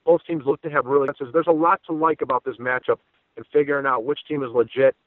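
A man with a mid-pitched voice talks quickly through sports analysis as a guest. He is talkative and conversational, heard over a dry, narrow phone-like line. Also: steady tone, no echo. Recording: tinny phone-line audio.

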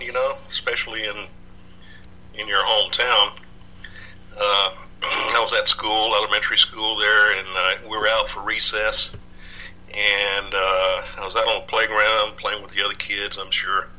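Bright-voiced older man, rambling. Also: fairly low pitch, rough recording. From Dallas, Texas. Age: 60s. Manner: rambling, many fillers and restarts. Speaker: podcast guest, researcher. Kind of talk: casual interview.

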